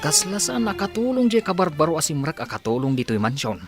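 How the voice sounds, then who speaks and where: A man's voice: on the high side, sing-song, fairly quick, loud music behind the voice. A man playing a gossiping household worker at a mansion, in a radio drama. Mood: gossipy.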